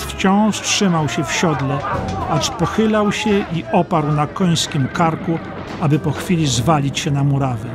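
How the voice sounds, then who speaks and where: A man narrating a war history audiobook in a dramatic, scripted storytelling style. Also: a little high.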